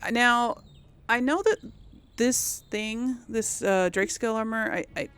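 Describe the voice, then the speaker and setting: A woman's voice: a little high, a little slow, fairly bright tone, clear, resonant tone. A woman giving casual video game commentary as a host, chatty and hesitant as she thinks aloud.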